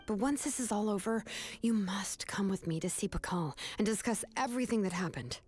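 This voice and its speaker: Give the game's young researcher played by an actress a medium-pitched female voice. She is composed and principled. She lays out her reasoning in measured, articulate sentences with quiet firmness. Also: animated, soft background music, lightly acted. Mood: exasperated, caring. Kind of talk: scripted game dialogue.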